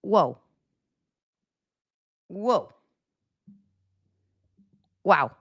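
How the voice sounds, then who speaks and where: A woman's voice: on the low side, very animated, extremely slow, fairly bright tone, slightly rough, no echo. Emphatic woman, dry close sound. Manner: emphatic, exclamatory. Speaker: online show host. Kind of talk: casual live commentary to an audience.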